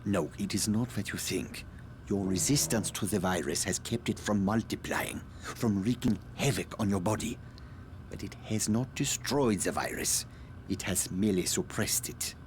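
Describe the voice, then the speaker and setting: An actor playing an evasive, self-justifying scientist in a video game, speaking formally and precisely with polite condescension. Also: male voice, on the low side, a little hiss or hum, lightly acted. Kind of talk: dramatic video game cutscene acting.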